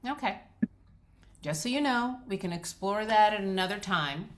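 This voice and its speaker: An actress reading a script as a patient, supportive therapist, speaking in a measured, gentle, professional way. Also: female voice, mid-range voice, lively intonation, lightly acted. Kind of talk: dramatic script read.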